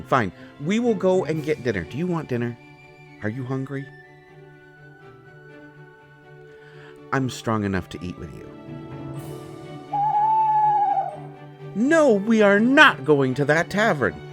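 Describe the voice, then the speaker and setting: A man playing a devoted, anxious healer in an intimate roleplay audio drama, speaking earnestly and haltingly to a partner. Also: on the high side. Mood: playful exasperation.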